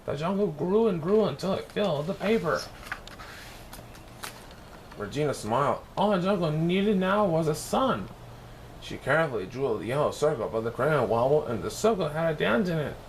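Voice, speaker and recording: A man's voice: a little high, very animated, moderate pace, a little hiss or hum, low-quality recording. A man narrating a children's picture book as a read-aloud storyteller.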